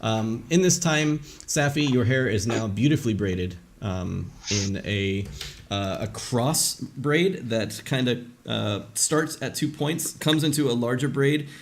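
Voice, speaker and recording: A man narrating as game master of an online tabletop game, casual and halting, with many fillers. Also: medium pitch, slight background noise. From English-speaking country.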